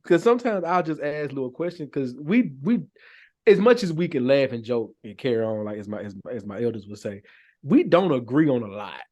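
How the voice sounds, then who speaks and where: A man with a medium-pitched voice talks on a podcast. His style is casual chat, with loose, rambling explanations. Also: big pitch swings, a little fast, a little mellow. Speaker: podcast speaker.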